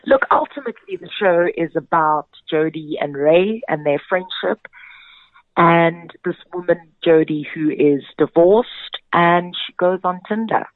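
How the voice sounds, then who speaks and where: A woman's voice: on the low side, a little slow, no echo. A South African woman, a theatre director, speaking as a guest in a radio interview. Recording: narrow phone-like sound.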